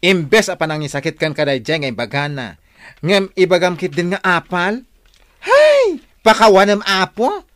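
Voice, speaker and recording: An actor playing an effeminate, gossiping cousin who stirs up trouble with shrill exclamations in a radio drama. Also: male voice, big pitch swings, a little slow, a bit bright, no room sound, exaggerated voice. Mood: gossipy.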